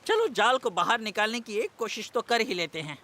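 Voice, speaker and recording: A man's voice: high voice, big pitch swings, normal speed, pushed and bright, slight background noise, exaggerated voice. A man voicing a friendly, patient fisherman and boat captain in a children's cartoon dub. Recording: music behind the voice.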